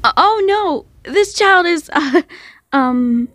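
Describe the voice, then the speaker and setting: A woman's voice: very high voice, lively intonation, slow pace, a bit bright, no room sound, pitched above the performer's own voice, full character voice. A woman voicing a flustered, easily outraged, emotional young man with an exclamatory, stammering manner, in a comic dub.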